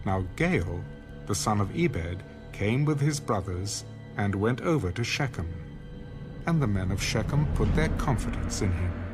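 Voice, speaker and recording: A man narrating an audio Bible in a measured, formal scripted style. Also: lowish voice, animated, music in the background.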